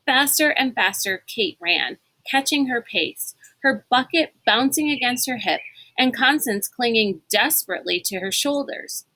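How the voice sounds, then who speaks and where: A woman reading a storybook aloud in an expressive, dramatic narrating style. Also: on the high side, a little slow, poor audio. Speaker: reader of a read-aloud book.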